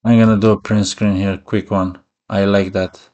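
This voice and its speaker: Low-voiced man rambling casually. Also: level tone, moderate pace, darkish tone, lo-fi sound. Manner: rambling, repeats "you know" tags. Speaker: reaction video host. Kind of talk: casual reaction commentary.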